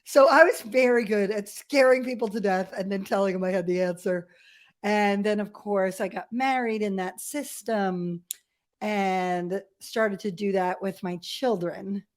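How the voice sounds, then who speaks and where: A middle-aged woman from Ethiopia, telling personal stories as an interview guest in a candid, animated way with self-deprecating humour. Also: mid-range voice, unhurried. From grew up in Ethiopia as a missionary kid. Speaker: podcast guest. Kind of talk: conversational personal storytelling.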